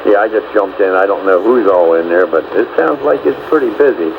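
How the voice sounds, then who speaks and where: A man's voice: lowish voice, a little fast, creaky. A man chatting casually as an operator over a two-way radio. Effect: two-way radio transmission. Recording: muffled CB radio with very loud static.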